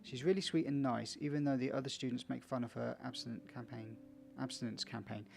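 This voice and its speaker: Creaky-voiced man doing a game playthrough. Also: medium pitch, steady tone, fairly quick, a little mellow, soft background music. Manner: chatty asides to viewers, stumbles over read text. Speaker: video game let's player. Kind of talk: casual commentary and reading game text aloud.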